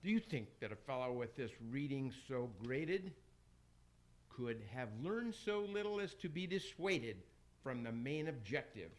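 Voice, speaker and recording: A man's voice: medium pitch, lively intonation, a little slow, lightly acted. A man acting a pompous, scheming Roman emperor from hell in a rhymed radio play, declaiming theatrically. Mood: menacing, boastful. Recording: faint noise, amateur radio broadcast.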